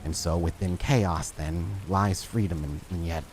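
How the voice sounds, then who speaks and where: A man voicing a plain, brooding, remorseful man in a hushed, halting, weary voice for a tabletop horror game. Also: deep voice, slight background noise. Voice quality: hushed, sighing.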